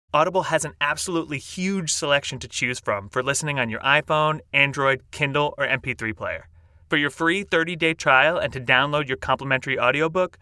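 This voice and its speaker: Very bright, dry-sounding male ad reader. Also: medium pitch, clear, resonant tone, dry recording. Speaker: podcast sponsor announcer. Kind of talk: upbeat scripted ad read.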